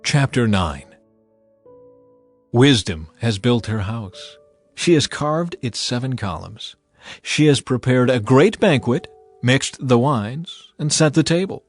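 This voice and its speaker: A man narrating dramatized scripture in a solemn, deliberate storytelling manner. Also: mid-range voice, animated. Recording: music behind the voice. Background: music.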